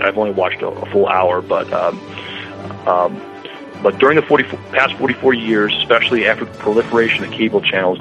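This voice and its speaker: A man co-hosting a podcast, reading out a review haltingly and stumbling over words. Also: on the low side, a bit bright. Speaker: podcast co-host. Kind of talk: semi-scripted TV review. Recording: slightly dull lo-fi sound.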